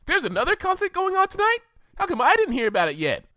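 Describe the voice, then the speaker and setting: A man's voice: very high voice, huge pitch swings, sharp tone, no room sound, voice pushed up, exaggerated voice. A squealing, bubbly party-pony mare, put on by a man, her voice shooting far above his own and bouncing wildly. Everything comes out giddy and breathless. The sound is dry and close. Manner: giddy, squealing, rapid-fire.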